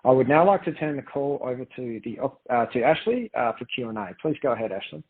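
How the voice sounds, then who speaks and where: A man's voice: mid-range voice, expressive, brisk, husky. A man speaking as a company executive on a conference call, presenting and answering in careful, formal corporate phrasing. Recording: dull, lo-fi conference call line.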